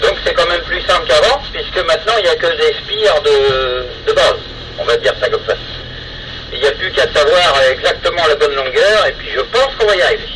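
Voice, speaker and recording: A man's voice: a little high, animated, a little fast. A man chatting casually and technically over two-way FM radio, explaining things in a rambling way. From France. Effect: two-way FM radio transmission. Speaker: amateur radio operator. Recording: very noisy FM radio, vehicle noise.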